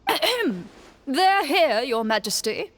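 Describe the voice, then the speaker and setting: A woman's voice: high voice, very animated, slow pace, a bit bright, heavily acted. A woman playing a formal, unctuous prime minister who speaks with ceremonious courtesy, in a video game. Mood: smoothly courteous.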